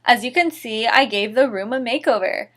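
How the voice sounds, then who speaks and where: A woman's voice: on the high side, normal speed, a bit bright. A woman voicing a cheerful, eccentric old male headmaster gone gothic, with a jolly, eager manner. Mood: happy, eager.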